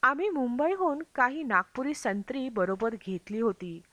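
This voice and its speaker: A woman's voice: high-pitched, expressive, moderate pace, a bit bright, no echo, muffled recording. A woman reading a travel memoir as scripted audiobook narration. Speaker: audiobook reader.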